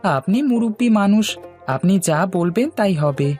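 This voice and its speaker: A woman's voice: mid-range voice, animated, measured pace, a little mellow, faint music behind, lightly acted. A woman voicing a polite, deferential young village bachelor in a moral story cartoon.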